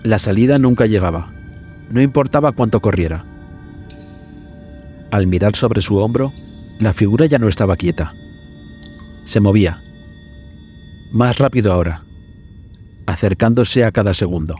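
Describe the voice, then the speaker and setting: A man narrating a scripted suspense horror story for a podcast in a dramatic, measured way. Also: fairly low pitch, mellow. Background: background music.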